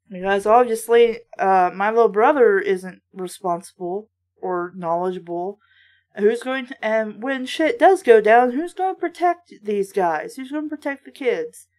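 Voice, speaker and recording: A woman hosting a casual book read-aloud with blunt, sardonic, sometimes profane running commentary. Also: medium pitch. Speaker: book reviewer and host.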